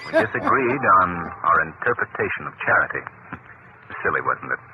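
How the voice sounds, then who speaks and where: Earnest gentleman hero, tinny old radio. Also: rumbling low, very animated, exaggerated voice. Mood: earnest, reflective. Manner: measured, formal. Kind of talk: 1940s radio acting. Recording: noisy, tinny old-time radio recording.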